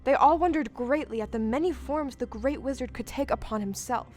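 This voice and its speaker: A woman's voice: high-pitched, a little fast. A woman narrating a classic storybook tale as the storyteller of an audio play, in scripted style.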